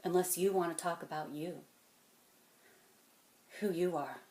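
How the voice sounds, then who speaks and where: A woman performing a dramatic monologue as a weary, wounded, stern mentor, speaking deliberately and intensely, moving between hushed and forceful. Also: fairly low pitch, close to an everyday voice. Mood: quiet, firm. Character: weary, wounded, stern mentor confronting a cocky young genius. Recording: echoey room.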